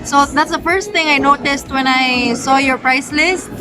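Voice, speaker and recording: A woman with a high voice interviews a shop owner at a market stall, chatting in a friendly way and dropping short agreeing replies. Music is clearly audible behind her. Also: steady tone, unhurried. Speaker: vlogger interviewer. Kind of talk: casual interview. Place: busy market food stall at night.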